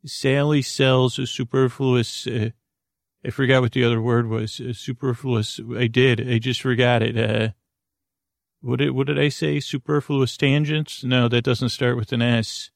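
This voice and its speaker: A man hosting a sleep podcast in a creaky, lulling voice, meandering haltingly through tangents and interrupting himself as he goes. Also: mid-range voice, level tone, no room sound, studio quality. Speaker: podcast host. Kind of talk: rambling bedtime sleep podcast monologue.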